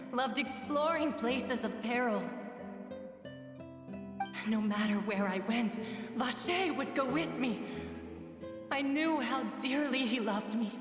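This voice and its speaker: A woman voicing a gentle, lovelorn water spirit in a video game, wistful and formal, with a ghostly reverb on her voice. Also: fairly high pitch. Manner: wistful, formal, lingering phrasing. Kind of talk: dramatic video game voice acting. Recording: long reverb, distant, echoing. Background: music.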